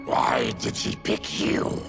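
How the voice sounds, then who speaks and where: A man playing a fierce, proud monstrous warrior in a video game cutscene, with a gravelly voice and blunt, indignant growl. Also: slow, over loud music, lightly acted. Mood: indignant, wary.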